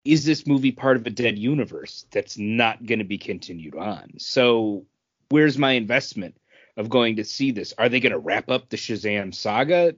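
Guy on a podcast, talks slow n casual, dry kinda lo-fi mic. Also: mid-range voice, dry recording. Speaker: podcast co-host. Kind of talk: casual pop-culture chat.

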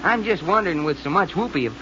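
A man's voice: high voice, expressive, fast talker. An actor playing a brash, folksy, girl-crazy adventurer with a drawl in a 1940s radio drama. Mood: gleeful. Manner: drawling folksy slang, boastful. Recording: noisy, dull old-time radio recording.

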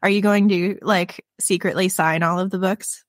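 A woman hosting a book podcast, gushing and enthusiastic, leaning on "like" and quick restarts as she talks. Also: on the low side, steady tone, no echo, studio quality. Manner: gushing and enthusiastic, heavy "like" fillers. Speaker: podcast host. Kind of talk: podcast interview.

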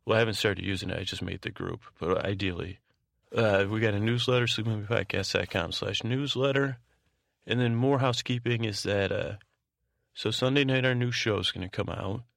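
A man with a low voice full of fry speaks as a podcast host, recorded very dry with no room around him. He wanders through informal announcements in a halting way. His sentences often break off, double back and start again. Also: fairly bright tone, no echo. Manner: halting, meandering, keeps breaking off and circling back. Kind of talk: rambling informal podcast announcements for a bedtime show.

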